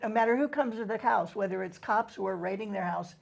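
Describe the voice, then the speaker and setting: A woman's voice: medium pitch, fairly quick, vocal fry. A middle-aged woman co-hosting a casual group chat, explaining things at length with frequent ums. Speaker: co-host.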